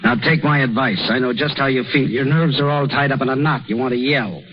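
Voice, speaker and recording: Man as defiant young doctor, mid-pitched, urgent yet tender, 1930s radio drama, dull noisy recording. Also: brisk, close to an everyday voice. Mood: kind, earnest. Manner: urgent, clipped orders, softening to gentle reassurance. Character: earnest, defiant young male hospital doctor, tender with children.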